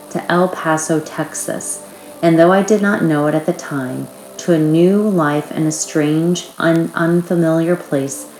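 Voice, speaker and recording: Female narrator, low register, reading a memoir-like note, roomy sound with faint music. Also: a little mellow. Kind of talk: reading a first-person author's note aloud.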